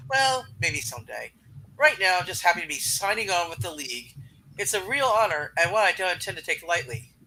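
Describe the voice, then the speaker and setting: A man's voice: high-pitched, big pitch swings, fairly quick, sharp tone, slight background noise, exaggerated voice, low-quality recording. A man playing a nervous, earnest superheroine in an amateur comic book reading. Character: nervous, earnest young female superhero hoping to prove herself.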